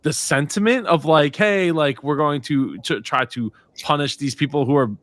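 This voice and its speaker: A man interviewer with a bright, forceful voice, mid pitch, recorded dry and close. He talks loosely, with plenty of fillers and sentences that trail off. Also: normal speed, dry recording. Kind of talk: casual interview conversation.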